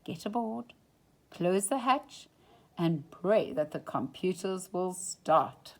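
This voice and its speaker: A woman reading a children's picture book aloud in an expressive, dramatic storytime style. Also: on the low side, slow. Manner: dramatic, drops into hushed whispers for suspense. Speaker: storytime reader.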